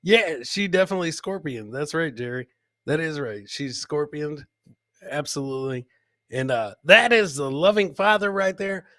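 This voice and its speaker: A man with a forceful, bright voice pitched a little high hosts a casual comedy show. He riffs on video clips, circling back to the same catchphrases and chuckling at his own jokes. The sound is dry and close. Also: animated, normal speed, no echo. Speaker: podcast host.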